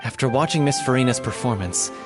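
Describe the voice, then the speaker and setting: A mild young male troupe actor from an anime game, played by a man, earnest and reflective. His medium-pitched voice sits under loud background music. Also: animated, fast, natural voice. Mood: thoughtful. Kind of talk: anime video game acting.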